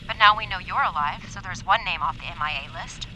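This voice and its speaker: A woman's voice: on the low side, fairly quick, bright, forceful tone, a little hiss or hum, low-quality recording. An actress playing an anxious, insistent woman medical researcher in an apocalyptic audio drama, speaking with urgent emotion. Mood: somber.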